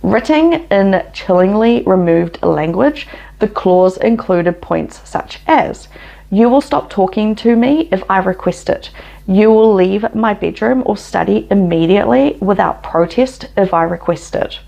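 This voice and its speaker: A woman's voice: medium pitch, animated, measured pace, creaky, warm tone, poor audio. A woman with a New Zealand accent, hosting her history channel and telling the story in an opinionated, chatty way with frequent laughs.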